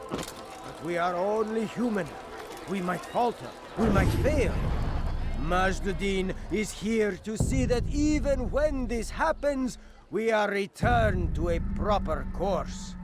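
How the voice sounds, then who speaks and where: An actor playing a devout, zealous street preacher in a video game, declaiming in a solemn, preachy way. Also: male voice, high-pitched. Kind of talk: oratory in a video game cutscene.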